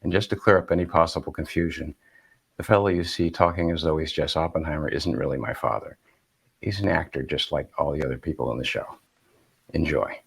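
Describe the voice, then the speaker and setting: A man's voice: very deep voice, brisk, creaky, slightly rough. An older man hosting a radio theater show, giving a scripted introduction. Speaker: host and producer.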